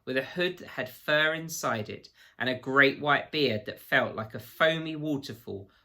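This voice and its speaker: A man reads a children's story aloud with measured expression. His voice is bright and forceful at a middling pitch, in the manner of a teacher reading to pupils.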